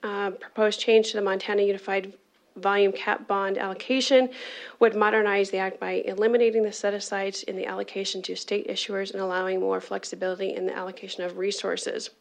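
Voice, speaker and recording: A woman legislator formally presenting a bill to a committee, reading prepared remarks in a measured way with occasional fillers. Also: mid-range voice, flat delivery. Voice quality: gravelly.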